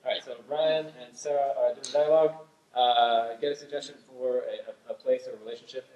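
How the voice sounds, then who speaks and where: A man hosting a live improv show, explaining games in a hesitant, halting way. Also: mid-range voice, fairly even intonation, darkish tone, lo-fi sound. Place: theatre stage in front of audience. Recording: echoey live stage room.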